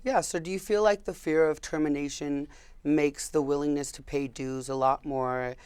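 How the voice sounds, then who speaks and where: A young man hosting a public affairs interview show, relaxed and loose with fillers and repeated words. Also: fairly high pitch, moderate pace, clean tone, no echo. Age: young adult. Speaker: host.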